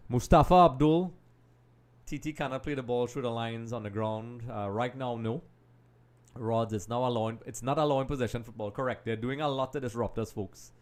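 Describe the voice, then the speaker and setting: A man hosting a live football watch-along in a middle-pitched voice. He talks in a chatty, analytical way, pausing on "um" and calling out to "folks" as he reads comments. He breaks down tactics and stats between remarks to viewers. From Trinidad and Tobago. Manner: chatty and analytical, often says "um" and addresses "folks". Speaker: livestream host.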